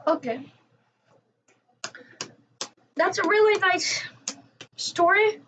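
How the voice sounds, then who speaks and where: A woman giving casual reaction commentary, haltingly thinking aloud as she goes. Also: very high-pitched, fairly bright tone, slight background noise. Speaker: commentator reacting to a story video.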